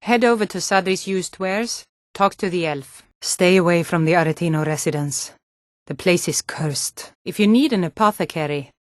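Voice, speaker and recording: A woman's voice: lowish voice, dry recording, clean studio sound, natural voice. An actress playing a tough, plain-spoken, world-weary Nord townswoman in a fantasy video game. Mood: matter-of-fact. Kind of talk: video game character dialogue.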